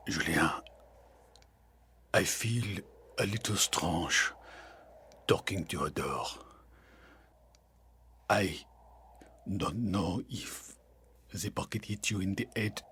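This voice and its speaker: An actor playing a remorseful, gentle boss in an audio drama, speaking hesitantly and apologetically. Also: male voice, low voice, takes it slowly, pauses often, a little hiss or hum, heavily acted. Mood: remorseful, awkward. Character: janitor's remorseful male boss, halting and gentle.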